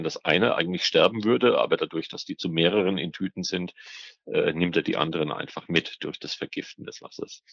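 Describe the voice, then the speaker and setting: A man's voice: low-pitched, creaky, a bit bright, no echo, lo-fi sound. A man speaking as an expert guest in an interview, explaining hesitantly and often repeating words as he searches for the next. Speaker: expert guest, biologist on ornamental fish trade. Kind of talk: spoken interview answers, explanatory.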